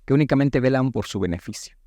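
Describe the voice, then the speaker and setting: A Guatemalan man in his late thirties giving thoughtful, halting answers as a politician being interviewed, with formal wording. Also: mid-range voice, warm tone, no echo, studio quality. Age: late 30s. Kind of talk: reflective interview talk.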